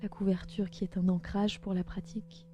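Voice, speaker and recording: Female meditation guide, calm and gentle, mid-pitched, spaced phrasing, music underneath. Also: dull audio. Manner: calm, gentle, slow instructions with pauses. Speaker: podcast host and yoga nidra guide.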